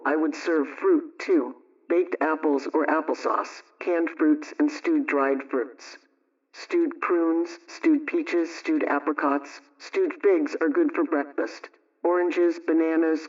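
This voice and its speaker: Brisk homemaking adviser, distant old radio. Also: female voice, sits low, a little mellow. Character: Aunt Sammy, brisk friendly 1920s homemaking adviser woman. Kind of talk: scripted 1920s radio homemaking talk. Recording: dull old radio recording, distant mic.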